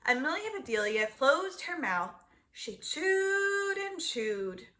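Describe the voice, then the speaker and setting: A woman storyteller reads a children's book aloud. Her voice is fairly high and very bright, and she places emphasis deliberately and expressively. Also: very animated.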